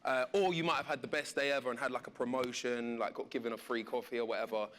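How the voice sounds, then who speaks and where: Bright-voiced, slangy man hosting. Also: mid-range voice, fairly even intonation, fast. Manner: informal, slangy, jokey. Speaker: panel host, radio presenter. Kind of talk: casual live hosting. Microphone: event stage microphone.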